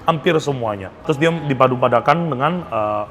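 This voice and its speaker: A man's voice: mid-range voice, animated, normal speed, fairly bright tone. Chatty Indonesian man over background noise. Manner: chatty, repeats confirmations, addresses viewers as friends. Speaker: food vlogger host. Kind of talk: casual food review chat. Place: restaurant. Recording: clear background noise, restaurant ambience.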